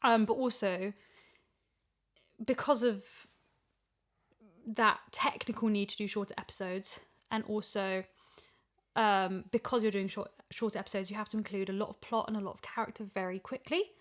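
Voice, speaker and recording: A woman's voice: mid-range voice, steady tone, normal speed, pauses often, a bit bright. A woman in a casual podcast chat, talking emphatically and repeating phrases for stress as a voice actor discussing an audio drama.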